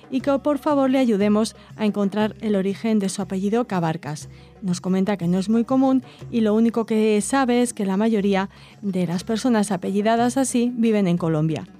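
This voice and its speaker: A woman hosting a radio program, reading listener letters aloud in a measured, gentle way with occasional hesitations. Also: mid-range voice. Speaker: radio host. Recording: faint music underneath.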